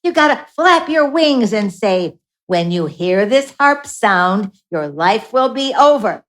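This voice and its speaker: A woman's voice: mid-range voice, big pitch swings, takes it slowly, fairly bright tone. A woman playing a bossy, exasperated little angel in a radio play, grumbling with sarcastic exclamations. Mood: bossy, impatient. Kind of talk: live radio play acting.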